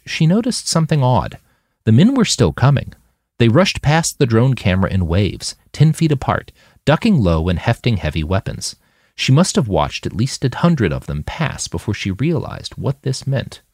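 A man with a deep voice narrates fiction like a dramatic audiobook. His pitch swings very widely, and the sound is close and dry. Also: huge pitch swings, normal speed, a little mellow, no room sound. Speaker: author reading his fiction.

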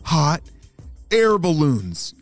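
A man's voice: fairly high pitch, huge pitch swings, drawn-out words, no echo, soft background music, voice pushed up, heavily acted. A man doing a brainy, bossy young girl. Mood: excited.

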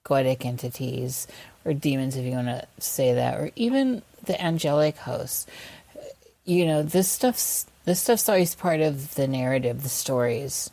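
A woman's voice: a bass voice, lively intonation, a little slow, warm tone, no room sound. A woman guest on a talk podcast, speaking thoughtfully and searching for words. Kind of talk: casual interview chat.

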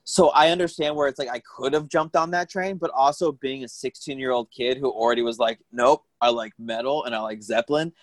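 A man in his late thirties talks casually with a co-host, poking sardonic fun and leaning on "like". His voice sits in an ordinary middle pitch. The sound is dry and close, with no room to it. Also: a little fast, few pauses, dry recording. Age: 30s. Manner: joking, sardonic, lots of "like". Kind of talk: casual chat.

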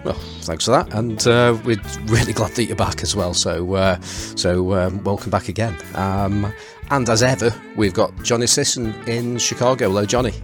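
A man hosting a podcast in a conversational, somewhat halting and hesitant way. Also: on the low side, fairly even intonation. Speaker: podcast host. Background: guitar music.

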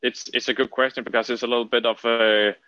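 A man with a Danish accent talks as an interview guest in a dry recording. He is conversational and halting, often doubling back on his words. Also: medium pitch, flat delivery, bright, forceful tone, dry recording, highs cut off. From Denmark. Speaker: podcast guest, trading entrepreneur.

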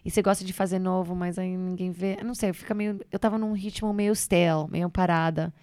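A woman's voice: fairly low pitch, steady tone, a little fast, a little mellow, clear, resonant tone, no room sound. Chatty Brazilian woman comedian. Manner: loose and chatty, many fillers, mixes in English words. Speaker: stand-up comedian, guest. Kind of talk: casual interview chat.

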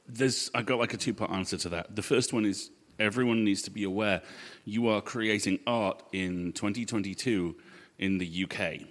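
A man with a low voice answers on a live panel in an echoey hall. He is earnest and measured, often landing his advice in short, punchy sentences. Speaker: panel guest. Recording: echoey hall with long reverb.

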